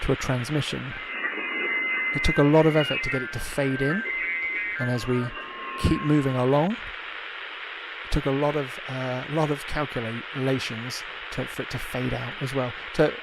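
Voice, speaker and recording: A male livestream host gives a casual, unscripted demonstration over prominent ambient music. His voice sits at an average pitch for a man. He thinks aloud as he goes and circles back to repeat his phrases. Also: fairly even intonation, unhurried, rough recording. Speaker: livestream host and hobbyist developer. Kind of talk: casual live demonstration talk. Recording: loud music under the voice.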